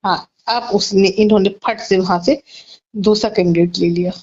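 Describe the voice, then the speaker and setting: A woman's voice: medium pitch, fairly even intonation, moderate pace, darkish tone. A woman with an Uttar Pradesh accent talking casually in a recorded phone call, often recounting what others said. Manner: conversational, recounts others' words in reported dialogue. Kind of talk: casual private phone conversation.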